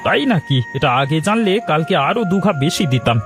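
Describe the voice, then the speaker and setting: A man plays a righteous village uncle in a cartoon, his voice pitched high and moving fast. He scolds troublemakers with sarcasm and threats, with faint music underneath. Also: animated. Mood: stern. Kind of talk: Bengali cartoon voice acting.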